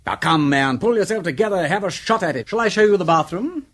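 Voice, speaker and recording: An actor playing a flippant, witty English aristocrat detective in a radio drama, urbane and drawling, teasing with mock politeness. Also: male voice, high voice, animated, fairly quick, a bit bright. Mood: mocking, coolly accusing. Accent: upper-class English.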